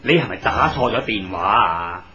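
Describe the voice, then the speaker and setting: A man's voice: fairly low pitch, moderate pace, dull audio, crowd noise, close to an everyday voice. An actor playing a weary, grumbling, exasperated working man in a 1980s Hong Kong Cantonese radio drama. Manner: grumbling, sighing, excitable.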